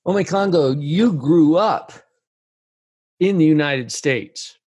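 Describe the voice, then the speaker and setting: A man's voice: a little high, expressive, takes it slowly, a little mellow, breathy edge, no room sound. A male host asking long, framing questions in a conversational way. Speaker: interviewer, host. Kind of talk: interview questions.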